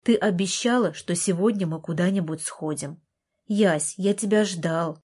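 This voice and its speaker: Audiobook role: resentful young teen boy, sarcastic, quick to snap, voiced by a female narrator. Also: on the low side, a little mellow, breathy edge. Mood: sulky. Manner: sarcastic, snappish. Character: sulky, snide thirteen-year-old boy.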